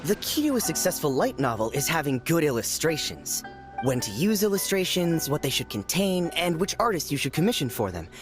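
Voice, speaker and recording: An animated, know-it-all novel fan. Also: male voice, fairly high pitch, brisk, music in the background.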